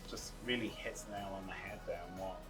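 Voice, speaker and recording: Man, low pitch, earnest, casual interview, halting phrasing, clear music behind, outdoor setting. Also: fairly even intonation, distant mic. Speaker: actor in a stage play being interviewed. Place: outdoors on a sea front.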